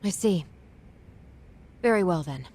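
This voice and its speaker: A woman's voice: fairly low pitch, animated, takes it slowly, darkish tone, slight background noise. An actress playing an earnest, worried female homeroom teacher in an English video game dub, proper, pointed and skeptical. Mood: reserved. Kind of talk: English-dubbed video game cutscene acting.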